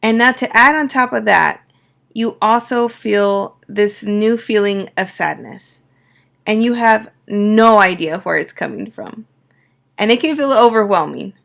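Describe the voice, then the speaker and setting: A woman coach speaking reflectively to her viewers, her voice a little raspy from a cold. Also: medium pitch. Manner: soft, unhurried and reflective, with many fillers. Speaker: astrology and life coach. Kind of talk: personal talk to camera.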